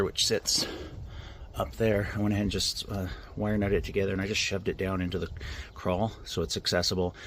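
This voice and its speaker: A man with a slightly raspy, fairly low voice chats loosely to the camera about his day and his HVAC work. He rambles a bit, restarting sentences and filling pauses with "uh" and "um". Faint ambient sound sits behind him. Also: a little hiss or hum. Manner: relaxed, rambling, many fillers and restarts. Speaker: HVAC technician and channel host. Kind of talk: casual how-to vlog, talking to camera.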